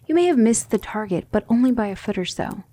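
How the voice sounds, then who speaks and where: A woman playing a gentle but firm demon tutor in an ASMR roleplay, speaking in a soothing, measured and formal way. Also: on the high side, lively intonation. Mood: gentle, reassuring.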